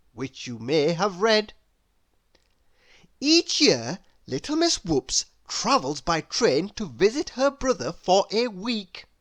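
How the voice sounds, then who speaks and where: A woman narrating a children's picture book aloud in an expressive, deliberate, theatrical storytelling manner. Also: medium pitch, no echo. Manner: deliberate, theatrical, with playful exclamations.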